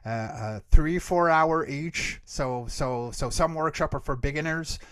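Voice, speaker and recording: Higher-pitched man, explaining casually, dry sound. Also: a little slow, fairly bright tone, smooth, no room sound. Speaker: guest expert, creature voice actor and workshop teacher. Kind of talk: casual explanatory interview answers.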